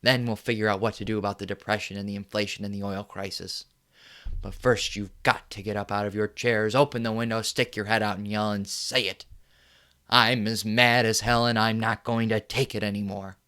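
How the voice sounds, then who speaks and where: An actor playing a furious middle-aged TV newsman who delivers an impassioned on-air rant that repeats itself and builds to yelling. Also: on the low side, steady tone, a little fast, natural voice. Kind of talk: film monologue.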